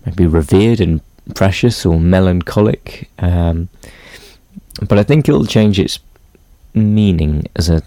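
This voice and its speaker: A man musing reflectively and hesitantly, often pausing to search for words. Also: deep voice, lively intonation, mellow, dry recording. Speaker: interviewee.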